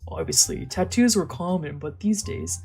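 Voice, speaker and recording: A woman's voice: lowish voice, huge pitch swings, moderate pace, dark tone. A woman narrating a visual novel aloud as a let's play, with casual, slangy asides.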